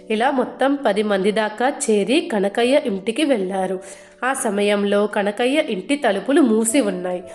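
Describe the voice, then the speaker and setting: A woman's voice: a little high, a little mellow. A woman narrating a scripted moral folk tale as a storyteller. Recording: roomy echo, music underneath.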